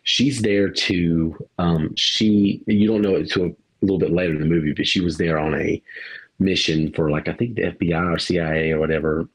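A man's voice: low voice, steady tone, brisk, slightly rough, rough recording. A man co-hosting a podcast, rambling through a movie review in casual chat, laughing and swearing now and then. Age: adult parent. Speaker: podcast co-host.

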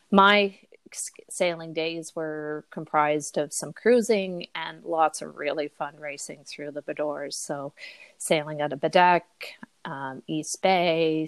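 Middle-aged woman, lowish voice, dry close sound, casual rambling interview talk. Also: no room sound. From Cape Breton, Canada. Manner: rambling, many fillers and restarts. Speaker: podcast guest.

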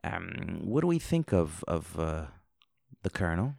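A man co-hosting a casual book discussion, relaxed, with joking asides. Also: deep voice, a little slow, gravelly, dry recording, studio quality. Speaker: podcast co-host.

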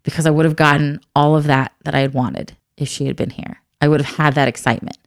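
A woman's voice: low-pitched, fairly even intonation, fast, dry recording, studio quality. A woman in her thirties, an adoptive mother, talking earnestly and reflectively in a candid conversation. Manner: earnest, reflective, soft-spoken.

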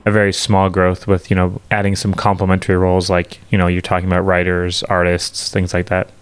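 A man co-hosting a podcast, asking questions in a slow, measured way. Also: deep voice, completely flat delivery, clean tone. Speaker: podcast co-host. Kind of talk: casual interview talk.

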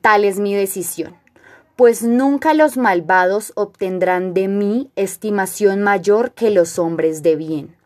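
A woman performs a stern, proud king from classical tragedy, addressing the elders. The delivery is very slow and solemn, with measured, declamatory phrasing at a middle pitch. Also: lightly acted. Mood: solemn, commanding. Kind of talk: classical tragedy monologue, recited.

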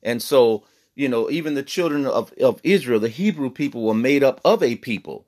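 A man's voice: medium pitch, expressive, a little mellow. A man teaching a Bible lesson on a podcast, earnest, with many fillers and restarts. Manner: reads scripture in slow old-fashioned cadence.

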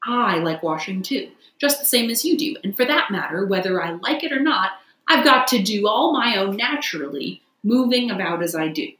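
A woman's voice: mid-range voice, lively intonation, moderate pace, few pauses, a bit bright, natural voice. Female reader as big barge woman, hearty, chatty, respectful, working storybook character, echoey recording. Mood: chatty grumbling. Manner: polite, plain-spoken, chatty.

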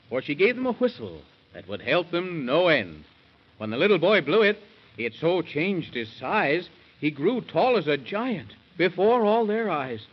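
A man's voice: a little high, slightly rough. A man narrating a rhymed children's bedtime story in a theatrical, sing-song 1930s radio style. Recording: muffled 1930s radio recording.

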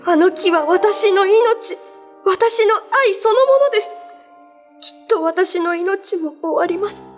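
A woman voicing a gentle tree spirit, a young wife, pleading and tearful in a radio drama. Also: very high voice, takes it slowly. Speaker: voice actress. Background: music.

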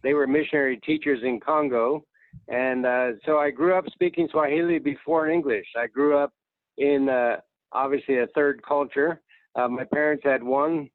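A man's voice: medium pitch, fairly even intonation, normal speed, no echo. A man in his sixties tells his story plainly and easily, heard over a thin, tinny phone line. The sound is dry, with no room around it. He talks like someone at ease recalling his career. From United States. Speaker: guest. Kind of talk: casual interview talk.

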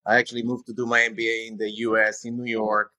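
Israeli-born exec in his 40s, bright tone, dry close sound, hesitant with lots of fillers. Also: mid-range voice, monotone, fast, dry recording. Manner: hesitant, many fillers and restarts. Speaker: guest, tech company executive. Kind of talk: conversational interview answers.

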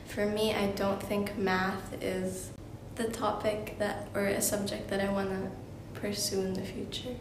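A woman's voice: little pitch movement, a little slow. A teenage female student explaining her view casually. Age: teens. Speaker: school student. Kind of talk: casual discussion. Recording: roomy, clear rumbling noise.

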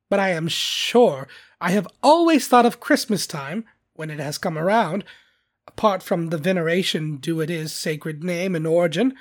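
A narrator voicing a cheerful, warm-hearted young nephew in a storybook, earnest and lively, sometimes pleading. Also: medium pitch, close to an everyday voice.

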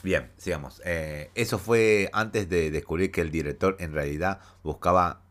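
A man with a very low voice hosts a casual gaming news podcast while fighting a head cold. His nose is stuffy, and he reads each story with chatty asides and frequent hesitations. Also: moderate pace. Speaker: podcast host.

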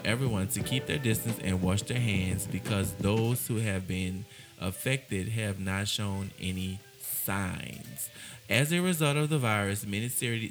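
A man co-hosting a casual gossip podcast, reading celebrity news aloud with laughing asides. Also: on the low side. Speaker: podcast co-host.

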